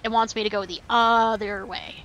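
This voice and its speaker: A woman's voice: mid-range voice, fairly even intonation, moderate pace, a bit bright, highs cut off, slight background noise. A woman live-streaming a game in casual commentary, playfully and wryly talking back to the characters. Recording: faint game music behind.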